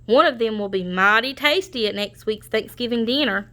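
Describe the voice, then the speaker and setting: Woman playing a bright-voiced musing farmer man. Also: on the high side, normal speed. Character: folksy male farmer choosing a holiday turkey.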